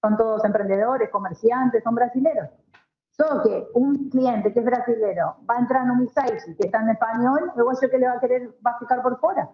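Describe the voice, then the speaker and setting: A woman's voice: on the high side, a little fast, roomy sound, lo-fi sound. A woman from Argentina with an Argentine accent and native Spanish, talking casually as a guest and mixing Portuguese with Spanish. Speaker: guest, marketing professional.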